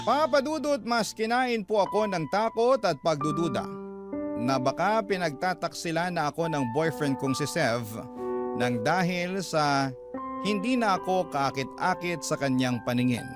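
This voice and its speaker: A man's voice: on the high side, unhurried. A Filipino man hosting a radio drama, reading a listener's letter as dramatic scripted narration. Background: music behind the voice.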